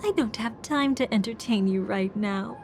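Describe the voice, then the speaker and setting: A woman's voice: a little high, soft background music, lightly acted. A woman playing a sly, teasing memory manipulator in a video game, with languid, mocking delivery. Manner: languid, mocking, drawn-out taunts.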